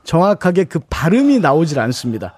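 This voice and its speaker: A man co-hosting a radio show, coaching a caller on pitch and diction with emphatic demonstrations and frequent laughs. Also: very animated, a little fast, darkish tone, husky. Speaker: radio co-host and vocal coach.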